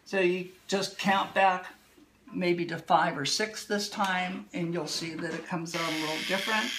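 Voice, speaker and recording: A woman speaks in an unhurried, explanatory way, as if teaching at a table indoors. Her voice is bright and forward, a touch hoarse. Faint background noise is picked up by a phone camera a short distance away. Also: lowish voice. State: slightly hoarse. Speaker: livestream demonstrator. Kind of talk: instructional demo talk.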